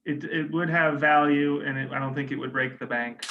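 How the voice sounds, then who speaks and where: A man leading a planning meeting on a video call, decisive, with quick restarts. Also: fairly high pitch, steady tone. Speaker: conference planning team lead. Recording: dull audio with faint room noise.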